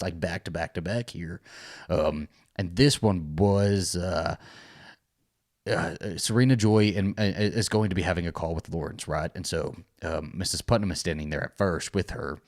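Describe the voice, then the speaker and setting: A man's voice: deep voice, normal speed, darkish tone. A man co-hosting a casual recap show, talking in an informal, slangy, joking way. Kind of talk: casual chat recapping a TV episode.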